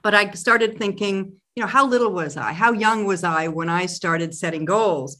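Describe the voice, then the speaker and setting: A middle-aged woman from Oklahoma, a company president and interview guest, telling a story in a reflective, measured way. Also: mid-range voice, a bit bright. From Oklahoma, USA.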